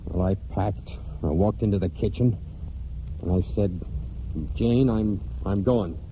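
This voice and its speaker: A man's voice: lowish voice, measured pace, slight background noise, natural voice. An actor playing a plain-spoken, proud farmhand with folksy rural speech, telling his story in a 1940s radio drama. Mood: brooding. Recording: dull old 1940s radio broadcast.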